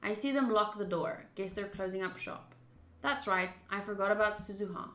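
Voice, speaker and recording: A woman's voice: medium pitch, normal speed, a bit bright, echoey room. A woman streamer doing a game playthrough, reading text aloud and adding casual commentary.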